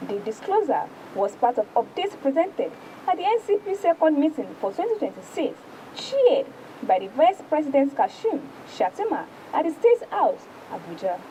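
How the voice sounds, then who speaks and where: Woman, Nigerian accent, high lively voice, news presenter, formal read-aloud with stumbles, faint rumbling noise. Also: normal speed, low-quality recording. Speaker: presenter reading newspaper review. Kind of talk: news reading and paper review.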